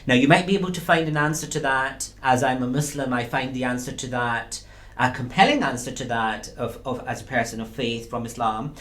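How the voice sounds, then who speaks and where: A man, a university lecturer as interview guest, speaking in a thoughtful, measured way with pauses. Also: mid-range voice, a bit bright. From Scotland. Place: small studio.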